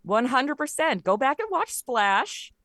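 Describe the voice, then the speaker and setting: A woman speaking as an interview guest in casual chat, telling stories in an animated way and acting out quoted lines. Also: high-pitched, measured pace. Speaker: guest, writer of a comedy audio show.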